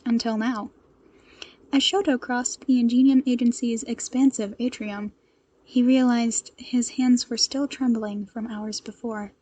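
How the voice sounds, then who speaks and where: High, mellow, husky woman narrator. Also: fairly even intonation, unhurried. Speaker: fan fiction narrator. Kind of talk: expressive scripted story narration.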